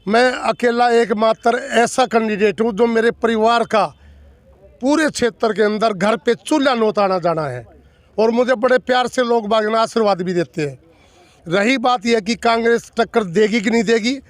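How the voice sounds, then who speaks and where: A man's voice: high voice, dry recording. A man in his sixties from the villages of North Delhi, answering interview questions as an election candidate in an earnest, emphatic way, repeating and listing points. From North Delhi villages, India. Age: 60s.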